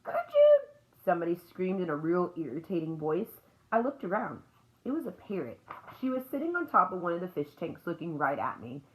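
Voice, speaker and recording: A woman reading a children's book aloud as an expressive storyteller. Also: lowish voice, fairly quick, low-quality recording.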